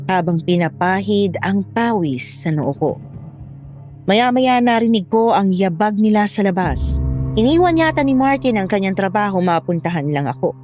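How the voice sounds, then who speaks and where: A woman's voice: mid-range voice, close to an everyday voice. A woman narrating a scripted horror story as a young wife retelling her frightening ordeal. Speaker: first-person story narrator.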